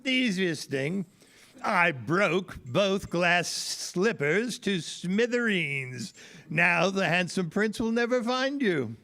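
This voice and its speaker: Man acting as fairy godparent, male, fussy, theatrical, highish pitch, very animated, children's audio theater. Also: takes it slowly, full character voice. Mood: pleased. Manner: huffy, theatrical, emphatic.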